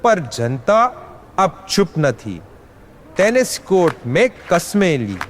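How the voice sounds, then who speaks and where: Indian street theatre rhymer, high theatrical male voice, slow delivery, music behind. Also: sing-song, heavily acted. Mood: dramatic, rousing. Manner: theatrical, declamatory. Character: exuberant male street-theatre balladeer rallying a crowd. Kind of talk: rhymed street play narration. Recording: music under the voice.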